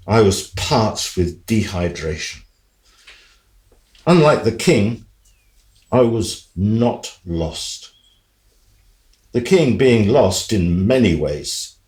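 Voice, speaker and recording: Man acting a slow, droll, low-voiced talking horse. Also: a little mellow, roomy sound, poor audio. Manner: theatrical, droll, indignant. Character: put-upon talking male horse, self-pitying and wry. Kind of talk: radio play monologue.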